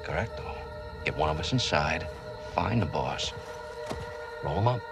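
An actor playing a brash, cocky military contractor commander in a video game. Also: male voice, very deep voice, animated, measured pace, vocal fry, loud music behind the voice, exaggerated voice. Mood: decisive.

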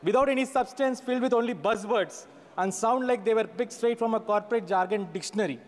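A man's voice: high voice, a little fast. Sarcastic man giving parliament speech. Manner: sarcastic, reads from notes with hand gestures. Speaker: member of parliament. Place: large parliament chamber. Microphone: fixed desk microphone. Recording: long chamber reverb.

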